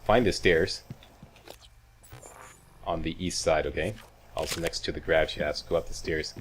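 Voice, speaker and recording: Low-voiced man doing casual game commentary. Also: measured pace, a little hiss or hum. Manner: folksy, wisecracking asides. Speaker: video game walkthrough host.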